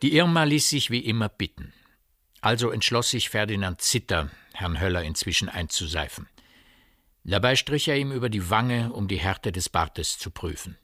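An actor playing a storyteller in a radio drama, narrating eerie village events in a deliberate, vivid way that addresses the listener. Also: male voice, lowish voice, expressive, fairly bright tone, dry recording, studio quality. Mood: wry, uneasy.

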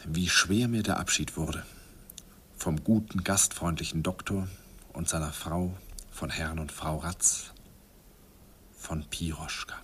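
Brief: low, expressive, wistful narrator, hissy old tape. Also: male voice. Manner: wistful storytelling, chuckling. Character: older man fondly recalling youthful romance. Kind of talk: 1950s radio play narration. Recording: hissy old radio recording.

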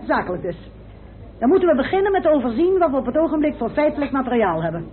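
An actress playing a brisk, opinionated member of an investigating club in a radio play. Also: female voice, high-pitched, big pitch swings. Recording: tinny old radio drama recording.

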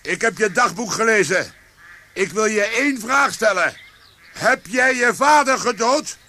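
An actor playing a determined, brooding police commissioner in a radio drama. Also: male voice, sits high, lively intonation, a little slow, pushed and bright, exaggerated voice. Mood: firm confrontation. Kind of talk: Dutch radio drama acting.

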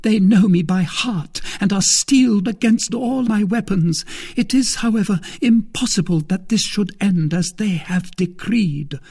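A man reading an audiobook, voicing a scheming, desperate captive noblewoman in an intense, slow, seething way. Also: high voice, a little mellow, breathy edge, voice pushed up, exaggerated voice. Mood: despairing.

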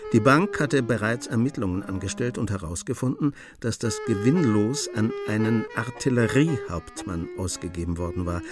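An actor as the composed narrator of a radio crime drama, telling the story in scripted narration. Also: male voice, fairly low pitch. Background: instrumental music.

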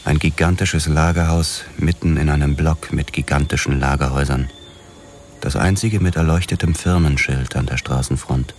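A man's voice: fairly even intonation, normal speed, darkish tone, a little hiss or hum. An actor playing a weary, brooding police detective narrating his hardboiled story in a noir radio drama. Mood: brooding, matter-of-fact. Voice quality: very deep voice.

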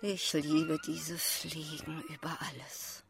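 A woman in a German radio drama playing a half-mad grieving parent, creaky, by turns scolding and tender. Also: deep voice, level tone, takes it slowly, heavily acted. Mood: mournful, tender.

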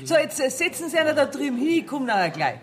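A woman's voice: high-pitched, big pitch swings. Brash bavarian lady banker, bossy n mocking, voice swings all over, busy crowd behind, old radio play. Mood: dismissive, amused. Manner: earthy, brisk, bossy, mocking. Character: brash, self-assured woman banker holding court among tavern customers. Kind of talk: radio play acting in dialect.

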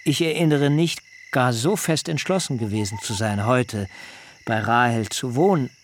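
A man's voice: medium pitch, normal speed, slight background noise, natural voice. An actor playing Jacob, a husband who wryly gives in to his wives in a radio play. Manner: wry, amused. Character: Jacob, husband, wry and yielding.

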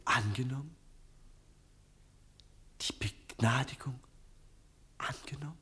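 An actor playing an indignant, idealistic teenage son in a German radio drama, impassioned and accusing, swinging between hush and outcry. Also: male voice, medium pitch, slow pace. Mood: stunned disbelief.

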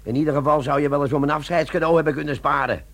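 An actor playing a wily, brazen army sergeant, a chummy smooth talker in a comic radio play. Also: male voice, a little high, steady tone, rapid-fire, a bit bright. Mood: grumbling, wheedling. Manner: cheeky, chummy, feigned innocence.

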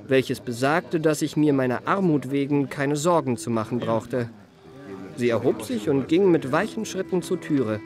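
Actor as a suave, self-satisfied young confidence trickster. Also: male voice, a little high, close to an everyday voice. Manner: ornate, self-satisfied, mannered formal diction. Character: elegant young male confidence trickster recounting his life. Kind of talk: literary first-person radio play narration. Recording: music behind the voice.

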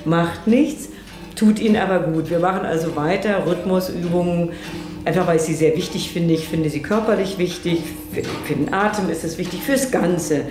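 A woman's voice: fairly low pitch, normal speed, roomy sound. A woman talking reflectively in an interview as a music and rhythm teacher.

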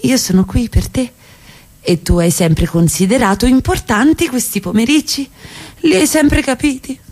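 A woman's voice: a little high, normal speed, a little mellow, lightly acted. A woman in a radio play acting a provocative middle-class wife who talks in an offhand, deflecting way. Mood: pleading. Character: evasive, provocative middle-class wife, cool then pleading.

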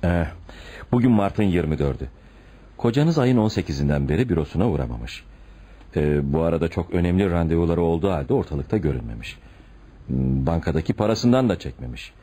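A deep, gravel-edged blunt police commissioner. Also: male voice, lively intonation, a little fast, warm tone. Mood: matter-of-fact, pressing. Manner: curt, sarcastic, interrogating. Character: blunt, tactless, suspicious male police commissioner. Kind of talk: radio theatre acting.

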